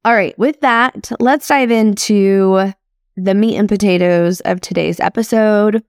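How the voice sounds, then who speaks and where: A woman in her thirties hosts her own podcast and talks through personal reflections. She speaks in a chatty, upbeat way with casual fillers and an unhurried pace. The sound is dry and close to the mic. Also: mid-range voice, smooth, no echo. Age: 30s. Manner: chatty and upbeat, with frequent "like" and "crazy". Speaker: podcast host.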